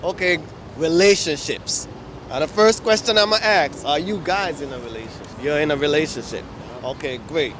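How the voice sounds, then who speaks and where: A man's voice: on the high side, moderate pace, noisy background. A man interviewing people on a city street, echoing their answers back to them. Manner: repeats answers back, affirming.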